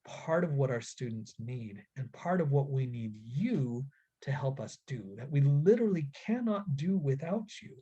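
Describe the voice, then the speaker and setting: A man giving an instructional webinar talk to parents in an earnest, deliberate way, stressing key phrases. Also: medium pitch, expressive, dark tone, breathy edge. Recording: dull, lo-fi webinar audio.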